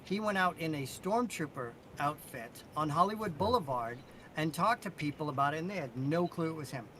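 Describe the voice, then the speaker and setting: Guy with a slightly high voice, enthusiastic fan chat on a podcast, emphatic, low rumble behind. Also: expressive, a little fast, bright, forceful tone.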